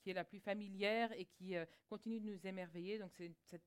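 A woman interprets a sermon live, line by line. Her voice is smooth and clear, and she often stalls on small words, repeating them while she looks for the phrasing. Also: medium pitch, steady tone, brisk.

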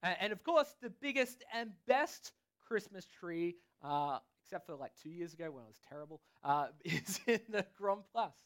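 A man's voice: sits high, lively intonation, a bit bright. Aussie guy preaching, laid-back and chatty, stops every line for the translator. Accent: Australian. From Australia. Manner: casual, chatty, pauses for translation. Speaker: pastor. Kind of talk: sermon with live interpreter.

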